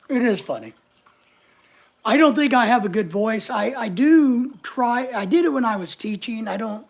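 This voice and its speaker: A middle-aged man with a voice high enough that strangers take it for a woman's. He chats loosely with viewers, joking at his own expense. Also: gravelly. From United States. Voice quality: high voice often mistaken for a woman's. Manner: chatty, joking, self-mocking. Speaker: channel host reading live chat. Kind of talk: casual livestream chat.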